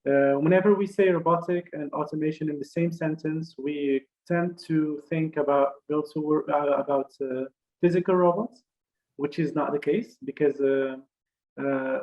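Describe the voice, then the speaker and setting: A young man from Morocco giving an online career fair talk, speaking haltingly with many fillers and restarts over a video call. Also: fairly high pitch, darkish tone, muffled recording.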